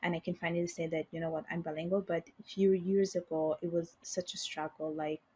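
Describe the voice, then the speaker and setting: A young woman whose first languages are Bengali and Italian talks through her career story in a relaxed interview. Her voice sits a little low and has a husky edge. She rambles warmly with fillers and restarts. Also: fairly quick. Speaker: guest, finance professional. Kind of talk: casual interview conversation.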